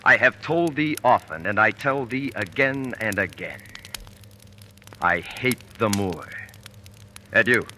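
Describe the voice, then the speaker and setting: An actor playing a two-faced military ensign, a sly, insistent villain, in old radio Shakespeare style. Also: male voice, fairly low pitch, lively intonation, slow, long pauses, sharp tone, a little hiss or hum, full character voice. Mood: sly goading. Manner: sly, insistent, theatrical cajoling. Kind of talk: 1940s radio Shakespeare acting. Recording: old radio broadcast with music.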